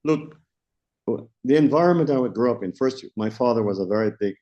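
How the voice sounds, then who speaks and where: A South African man around sixty, a company founder answering interview questions in a measured way, often restarting as he searches for words. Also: medium pitch, animated, dark tone. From Johannesburg, South Africa. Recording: dull lo-fi recording.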